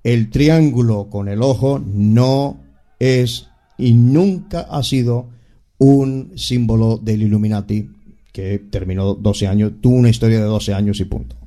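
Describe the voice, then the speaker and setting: Lecturing man with a mellow low voice. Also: a little slow. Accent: Latin American Spanish. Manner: lecturing, emphatic, repeats words when restarting. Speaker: guest expert. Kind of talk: discussion on a talk show.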